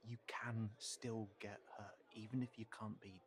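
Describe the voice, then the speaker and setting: A man playing a cautious, protective fantasy character in an intimate audio roleplay, speaking haltingly and thoughtfully as if to one companion. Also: on the low side, flat delivery, lightly acted. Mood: worried, hesitant. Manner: hesitant, halting, thoughtful, trailing off.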